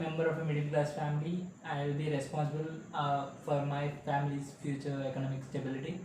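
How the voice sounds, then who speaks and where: A young Indian man with a slightly higher voice reads his self-reflection answers in an earnest, halting way, stopping on fillers and restarting phrases. He sounds set back from the mic in a strongly echoing room. A steady hiss of noise sits under his voice. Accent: Indian English. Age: young adult. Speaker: student. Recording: echoey room, voice a bit far from the mic, clear background noise.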